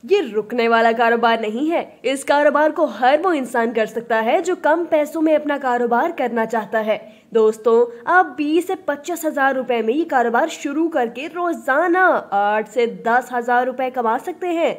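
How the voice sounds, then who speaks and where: A woman narrates a business tutorial from a script. Her voice sits high, and she walks through each step in an upbeat, instructive manner. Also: moderate pace, few pauses.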